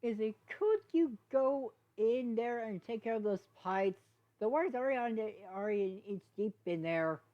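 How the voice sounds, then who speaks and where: A woman's voice: on the high side, animated, normal speed, dull audio, voice pushed up, close to an everyday voice. Stern male pony sheriff as a woman reads him: exasperated, responsible, admonishing, storybook cartoon character. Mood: urgent.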